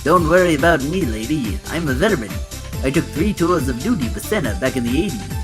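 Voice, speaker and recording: A man voicing a tough, grumpy veteran head elf in a comic audio drama, gruff and blunt. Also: on the high side, expressive, fairly quick, over music, close to an everyday voice. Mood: cocky.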